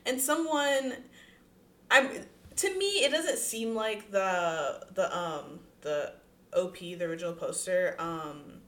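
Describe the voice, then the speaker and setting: A woman's voice: lowish voice, slow pace, fairly bright tone, roomy sound. A woman co-hosting a podcast, giving blunt, sassy, exasperated commentary in casual chat. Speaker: podcast co-host. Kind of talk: casual chat reacting to a post.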